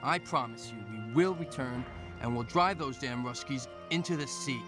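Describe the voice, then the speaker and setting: Male actor as army corporal, medium pitch, earnest, deferential, game cutscene, faint music. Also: normal speed, natural voice. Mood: regretful, determined. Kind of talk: scripted game cutscene dialogue.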